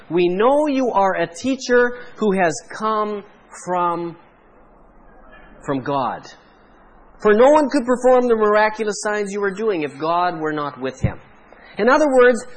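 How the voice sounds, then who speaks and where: A Canadian man, a pastor, preaching a sermon in a measured way, pausing for emphasis. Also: sits high, rough recording.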